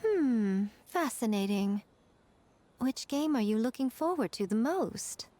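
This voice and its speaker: A woman voicing a poised, teasing, shrewd woman of authority in a video game, measured and playful. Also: on the high side, animated, dark tone. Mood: amused, knowing. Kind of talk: English video game voice acting.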